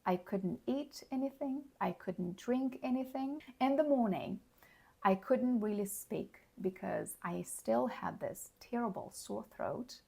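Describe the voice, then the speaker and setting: A hoarse woman giving a slow, clear English lesson, explaining words plainly and checking in with the listener. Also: on the high side, a little mellow. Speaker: English teacher. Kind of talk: slow, clear teaching monologue.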